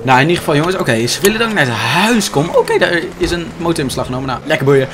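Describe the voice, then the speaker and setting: A man's voice: a little high, expressive, very fast, over music. A young man giving casual, chatty gameplay commentary, thinking aloud in an informal way as he goes. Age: young adult. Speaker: gaming video creator. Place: indoor room with gaming chair.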